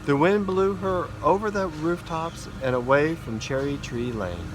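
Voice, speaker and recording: A man reads a children's storybook aloud in an expressive way, stumbling now and then, outdoors in a backyard. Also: a little high, measured pace. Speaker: school principal reading a story. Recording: noisy outdoor backyard recording. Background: neighbourhood ambience, mowers, dogs, kids.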